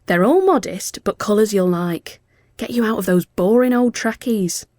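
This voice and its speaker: A woman playing a cheerful, brisk female support worker in a dramatic story, with a bright, emphatic delivery. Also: mid-range voice, no echo, studio quality, close to an everyday voice. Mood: brisk reassurance.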